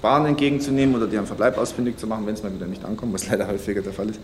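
An actor playing a formal, didactic rocket engineer in a naturalistic radio drama. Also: male voice, fairly low pitch, fairly even intonation, racing, lightly acted. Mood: brisk, instructive. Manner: didactic, formal, technical explaining. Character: brisk male German rocket engineer showing a new secretary around. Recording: noisy background of factory and corridors.

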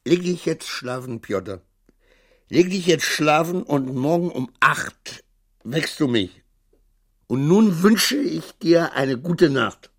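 A man's voice: fairly high pitch, lively intonation, slow, fairly bright tone, heavily acted. A man in a radio drama playing an anxious, self-berating petty clerk who mutters to himself and swings into outbursts. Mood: tipsy, commanding.

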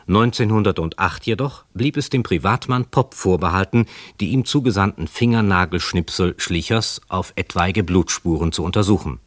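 A man narrating a scripted crime radio drama in a measured, factual way. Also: low voice, no room sound.

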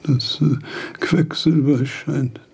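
An actor playing a frail, failing philosopher of nearly eighty in a radio drama, speaking haltingly and repeating words. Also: male voice, on the high side, steady tone, a little mellow, full character voice. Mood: halting, absorbed. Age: older. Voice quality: creaky, husky, feeble voice.

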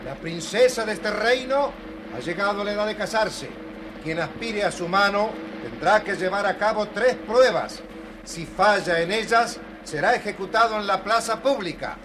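A man's voice: high-pitched, lively intonation, normal speed, noisy background. A man acting as a formal royal herald proclaiming a decree in a fairy tale drama.

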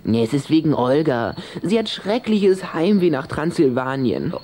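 A man's voice: fairly high pitch, sing-song, normal speed, dark tone, slight background noise. An actor playing a cheeky, bossy little boy vampire in a children's radio play, teasing and breezily commanding. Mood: teasing, sly.

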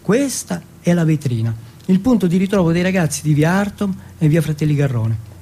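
A man from Turin performing an autobiographical theatrical monologue, telling it in measured short phrases and lists. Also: on the high side, very animated, dark tone, breathy edge. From Turin, Italy.

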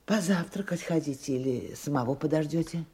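An actress playing a hospitable, plain-spoken housewife with a homely manner in a radio play. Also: female voice, low-pitched, brisk, warm tone, close to an everyday voice. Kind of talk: naturalistic radio play acting.

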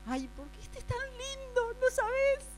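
A woman acting a gossipy friend confiding secrets in a hushed, urgent way with an Argentine accent, in an improvised radio drama. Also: extremely high pitch, sing-song, measured pace, pitched above the performer's own voice, full character voice. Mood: secretive, excited. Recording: mains hum noise.

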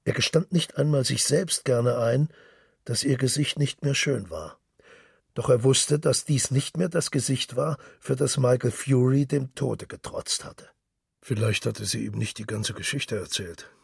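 Husky, gravelly male literary narrator. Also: medium pitch, measured pace, no room sound, studio quality. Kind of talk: literary narration for a radio production.

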